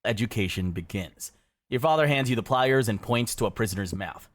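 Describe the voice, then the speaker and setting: Low-pitched man narrating a grim script. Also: expressive, brisk, no room sound, clean studio sound. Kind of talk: scripted second-person dramatic narration.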